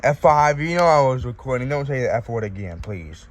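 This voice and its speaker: A man hosting an unboxing video in casual, excitable chat. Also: medium pitch, brisk. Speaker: host of a radio unboxing video. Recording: noisy amateur recording with clicks.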